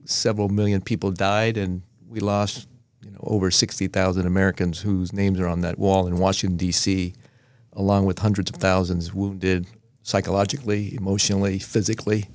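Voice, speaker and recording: A man in his fifties, originally from Vietnam, answering as a podcast guest in a measured, earnest way. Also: deep voice, flat delivery, darkish tone, no room sound. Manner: measured, earnest, pauses between phrases. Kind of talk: reflective interview answers.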